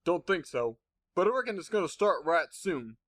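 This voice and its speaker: A man's voice: on the high side, very animated, normal speed, a bit bright, dry recording, studio quality. A man voicing a friendly, plain-spoken farm pony with a Southern US accent and a laconic, folksy manner in a storybook audio drama. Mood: easygoing.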